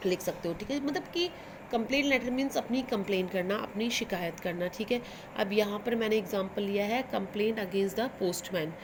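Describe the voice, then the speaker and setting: Indian woman teacher over loud background noise. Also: medium pitch. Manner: instructive, repeats and translates phrases, frequent check-in tags. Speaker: English teacher for school students. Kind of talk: explanatory lesson mixing Hindi with English phrases.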